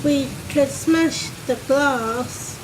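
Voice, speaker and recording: Woman playing a high-voiced, bold boy in a storm. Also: drawn-out words, very noisy. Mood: impatient, determined. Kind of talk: Halloween radio play acting.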